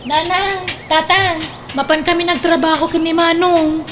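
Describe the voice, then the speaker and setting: A woman doing a high-voiced teasing daughter. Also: steady tone, normal speed, a bit bright, a little hiss or hum. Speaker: grown daughter. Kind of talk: Ilocano radio drama acting.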